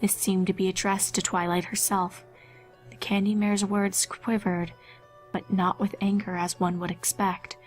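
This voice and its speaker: A woman's voice: mid-range voice, little pitch movement, a little slow, faint music behind. A woman narrating a scripted horror fan fiction story.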